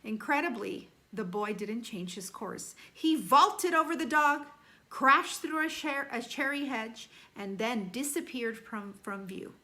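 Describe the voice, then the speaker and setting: A woman reading a children's novel aloud like a teacher, animated, with deliberate emphasis and dramatic slowing on key phrases. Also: on the high side. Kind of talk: expressive children's read-aloud.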